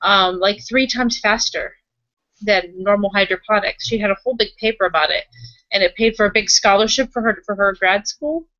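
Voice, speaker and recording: Halting woman in improvised roleplay, dull recording. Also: medium pitch, fairly bright tone, no echo. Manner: halting, thinking aloud, repeats phrases. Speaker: tabletop roleplaying player and game runner. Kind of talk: improvised in-character roleplay conversation.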